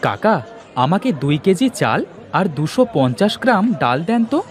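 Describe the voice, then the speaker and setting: Animated, obliging village lad acted by a man. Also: a little high, a little slow, a faint crowd. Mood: polite. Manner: obliging, expressive. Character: polite, helpful, hardworking village young man.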